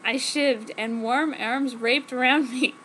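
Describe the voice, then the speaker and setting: A woman's voice: sits high, fairly even intonation, takes it slowly, fairly bright tone. A woman giving an exaggerated, mock-serious dramatic reading of a story as an amateur fanfiction reader. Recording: noticeable background noise.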